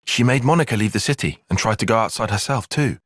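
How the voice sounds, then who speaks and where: An earnest young strategist for a video game cutscene. His phrasing is formal and exact, with animated pitch swings and a slightly husky edge. The recording is very dry, with no room around it. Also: fast talker, no echo. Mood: composed, analytical. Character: analytical, reserved young male military strategist, earnest and proper.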